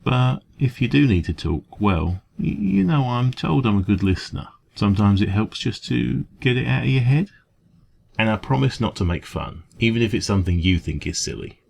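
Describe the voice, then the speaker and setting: A man with an English accent playing a kindly, gentle café dragon in an ASMR comfort roleplay, speaking in a hesitant, informal, reassuring way. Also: on the low side, animated, moderate pace, creaky, a little hiss or hum. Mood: gentle concern.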